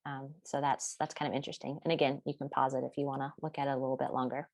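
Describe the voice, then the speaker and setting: A woman presenting a class project in a steady, explanatory way, partly read from notes. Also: a bass voice, rapid-fire, gravelly, no echo. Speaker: student presenter.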